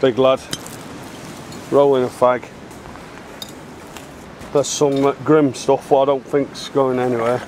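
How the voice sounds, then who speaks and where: A man's voice: mid-range voice, flat delivery, slow, long pauses, a little mellow. A middle-aged man, chatting casually and bluntly as he shows finds on a handheld camera outdoors. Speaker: amateur bottle digger filming finds. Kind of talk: casual chat, showing finds. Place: outdoors in a wooded dig site. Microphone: handheld camera microphone. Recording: clear outdoor background noise on handheld camera.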